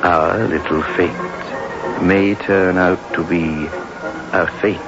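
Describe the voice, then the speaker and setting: A man's voice: low voice, steady tone, slow. A man playing a sinister, drily joking host of a 1960s radio horror series, mock-courteous and macabre. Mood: macabre, wry. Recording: muffled old radio recording, loud music.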